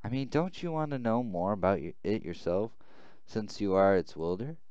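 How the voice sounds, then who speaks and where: A man's voice: fairly low pitch, lively intonation, moderate pace, a little mellow, smooth, no echo, dull audio, natural voice. A man voicing a calculating, persuasive male rebel soldier in a visual novel game, coaxing as he talks.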